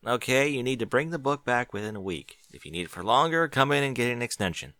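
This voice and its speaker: A man's voice: medium pitch, expressive, quick pace, sharp tone, dry recording, voice pushed up. A man voicing a sensible young boy dragon who works in a library, matter-of-fact.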